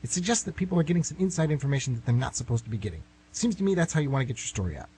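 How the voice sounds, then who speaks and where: A man's voice: medium pitch, big pitch swings, very fast, mellow, a little hiss or hum, close to an everyday voice, poor audio. A man playing a glib writer who bargains and protests, in an amateur radio comedy.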